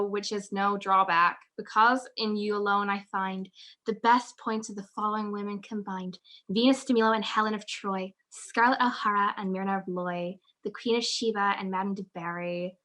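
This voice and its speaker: A quick-witted, teasing wife of a detective acted out by a young woman. Her voice sits at a middle pitch, in an amateur radio drama in 1940s style. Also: sharp tone, lightly acted. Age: young adult.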